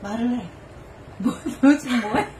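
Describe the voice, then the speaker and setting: A woman's voice: a little high, a little slow, mellow, slight background noise. A middle-aged woman from Seoul with a Busan accent, bantering with her daughter and teasing her, laughing a lot. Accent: Busan Korean. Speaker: vlogger's mother. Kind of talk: casual banter.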